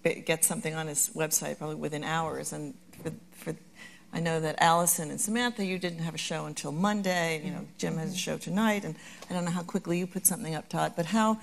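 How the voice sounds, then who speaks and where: A woman's voice: sits low, fairly even intonation, fast talker, gravelly, a little hiss or hum. A woman moderating a festival panel, asking long, winding questions of the panelists. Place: festival stage.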